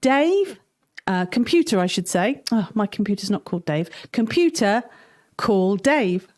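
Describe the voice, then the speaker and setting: A woman's voice: medium pitch, big pitch swings, unhurried, a little mellow. A woman hosting children's Bible story time in an informal, conversational, exclamatory way. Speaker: host, storyteller.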